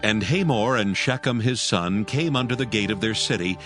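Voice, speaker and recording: A man narrating scripture in a measured, solemn way. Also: on the low side, lively intonation, fairly bright tone. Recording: music bed behind voice.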